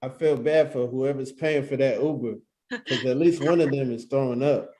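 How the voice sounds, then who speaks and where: A man's voice: medium pitch, normal speed, warm tone, close to an everyday voice, rough recording. A man playing a cheeky wedding guest who pushes his friends to party, with casual slangy talk in a comedy table read. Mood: wry.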